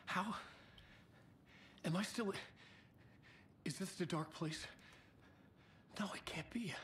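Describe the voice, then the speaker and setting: An actor playing a frantic, haunted male writer in a video game, halting, breathless and urgent. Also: sits high, fairly bright tone, slight background noise. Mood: shaken, urgent. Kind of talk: video game drama acting.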